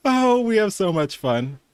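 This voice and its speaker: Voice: medium pitch, clean tone, no room sound. A podcaster chatting casually over a recording, amused, informal and sweary. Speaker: podcast guest commenting on a recording. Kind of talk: casual reaction chat.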